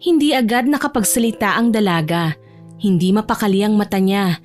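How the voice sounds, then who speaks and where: A woman's voice: medium pitch, fairly quick, faint music behind. A woman reading scripted narration for an audio romance drama as the storyteller.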